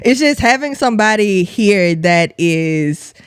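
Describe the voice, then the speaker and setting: A woman hosting a podcast with a hoarse, worn-out voice, chatting in an upbeat, giggly, slangy way. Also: mid-range voice, expressive, a little slow, dry recording, clean studio sound. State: hoarse, losing her voice. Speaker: podcast host. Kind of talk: casual interview chat.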